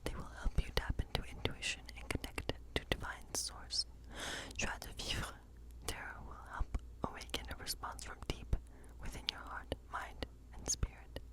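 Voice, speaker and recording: A woman performing a whispered ASMR role play as a whimsical witch reading tarot, musing softly in a breathy, unhurried whisper. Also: low-quality recording.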